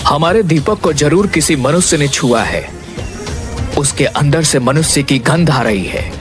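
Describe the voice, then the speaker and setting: A regal snake king acted by a man from a cartoon fairy tale. The voice sits slightly high for a male, grandiose and stern, issuing formal orders. Music plays clearly underneath. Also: expressive, a little slow. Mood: stern, threatening. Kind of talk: Hindi animated fairy tale dialogue. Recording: music behind the voice.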